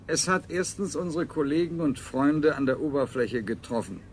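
An actor playing a clinical scientist in a science fiction radio play, formal and matter-of-fact. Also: male voice, a little high, expressive, normal speed. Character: clinical, formal male scientist leading survivors. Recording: faint noise, 1970s radio production.

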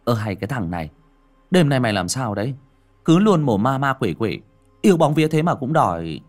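A man voicing a brash, foul-mouthed young village man who talks fast and mocking. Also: on the low side, lively intonation, faint music behind. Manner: rough, mocking, quick.

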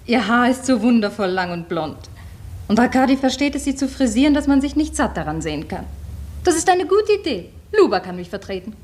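A theatrical, plaintive actress in a 1950s radio play. Her voice sits high and swoops expressively, with a dramatic air. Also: big pitch swings, fairly quick, darkish tone. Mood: admiring. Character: lamenting, theatrical actress in a serf troupe.

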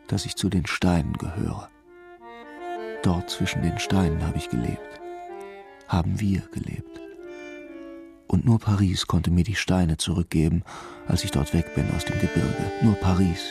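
An actor playing a brooding, solitary investigator in a radio drama, musing intimately in short, halting phrases with a gravelly voice. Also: male voice, low voice, steady tone. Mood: brooding, wistful. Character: brooding solitary male investigator in inner monologue. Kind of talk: radio drama inner monologue. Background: accordion and violin music.

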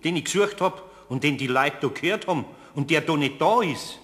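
Husky older Bavarian craftsman. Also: fairly high pitch, animated, a little fast, fairly bright tone. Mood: doubtful, chiding. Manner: homely, hesitant, mildly chiding. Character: good-natured, grumbling older male master craftsman. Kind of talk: German children's radio play acting.

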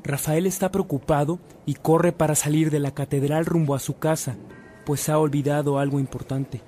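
A man's voice: a little high, fairly even intonation, normal speed, warm tone, soft background music, close to an everyday voice. A man narrating a scripted radio drama as a storyteller. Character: storytelling narrator of a saint's life.

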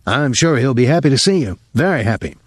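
Man playing a stiff, nervous older colonel, pitched up. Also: sing-song, no room sound, clean studio sound. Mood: uneasy, grave. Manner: formal, halting, embarrassed.

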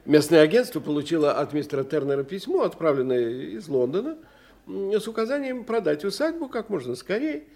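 A learned older professor in an audio drama. His voice is slightly high and husky, swinging widely as he lectures. He explains things theatrically and talks down to younger company, with music around him. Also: a little mellow. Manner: didactic, theatrical, condescending to his young friend. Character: animated, learned older professor investigating psychic phenomena.

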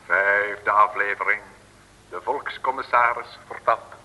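A man's voice: on the low side, fairly even intonation, slow, bright, forceful tone, heavily acted. A man narrating a radio serial from a script, in the style of an old broadcast. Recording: distant, very noisy old radio recording.

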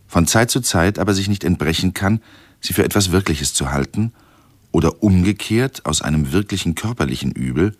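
A sober male narrator reads scholarly prose for a radio play. The voice is low, the delivery formal and measured, the studio sound close and dry. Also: expressive, dry recording. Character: sober male narrator reading out old scholarly medical text.

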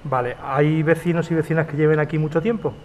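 A fast-speaking male reporter with a slightly high voice , played by an actor. He is supportive and informal, interviewing for community radio in an audio drama. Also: fairly even intonation, a little mellow, slight background noise, lightly acted. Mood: engaged, supportive.

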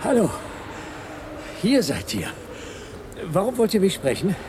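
A man's voice: sits high, wildly swooping pitch, measured pace, exaggerated voice. An actor in a German radio drama playing a suspicious armed man, curt and challenging, on a noisy cassette recording.

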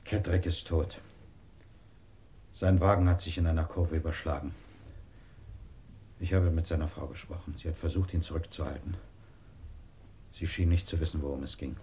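A man playing a grave, principled interrogator in a German radio drama, speaking in a measured, formal, earnest way. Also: rumbling low, steady tone, mellow, a little hiss or hum. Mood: grave, subdued. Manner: measured, formal, deliberate speech.

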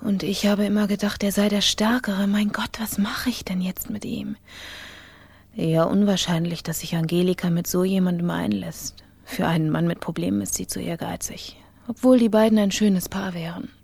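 An actress playing a coolly calculating, self-absorbed woman in a radio play, murmuring her thoughts in a breathy, husky voice. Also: mid-range voice, fairly even intonation, moderate pace, a little mellow, dry recording. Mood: musing, calculating. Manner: murmured, thinking to herself. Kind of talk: radio play interior monologue.

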